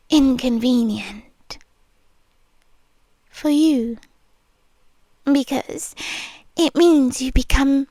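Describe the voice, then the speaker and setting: Brief: British woman as a creepy-sweet obsessive nurse, high voice, very slow whispered delivery, close and dry. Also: no room sound, full character voice. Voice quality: soft, breathy whispering. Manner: slow, teasing, sweetly controlling. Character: obsessive possessive young female nurse. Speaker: ASMR roleplay performer. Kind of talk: F4M ASMR roleplay. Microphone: close mic, speaking into the ear.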